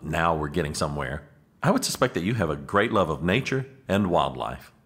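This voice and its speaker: Guy doing a weary sardonic aide in a radio comedy, really deep voice, lots of up and down. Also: very animated, fairly quick, a bit bright, exaggerated voice. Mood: dry, skeptical. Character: exasperated, long-suffering male political aide. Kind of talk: comedic audio drama acting.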